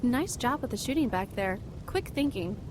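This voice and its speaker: A woman voicing a plucky, quick-thinking young woman in an audio drama. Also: fairly high pitch, animated, noisy background, close to an everyday voice.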